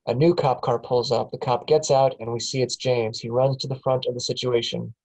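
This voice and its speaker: A man's voice: medium pitch, level tone, fairly quick, darkish tone, rough recording. A man narrating stage directions matter-of-factly at a film script table read.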